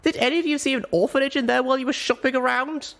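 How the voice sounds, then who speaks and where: A man's voice: very high voice, steady tone, fast talker, vocal fry, fairly bright tone, pitched above the performer's own voice, full character voice. A man voicing a young female pony, an earnest, stubborn and anxious heroine, for a storybook reading. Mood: heated, pleading. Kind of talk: audiobook character voice.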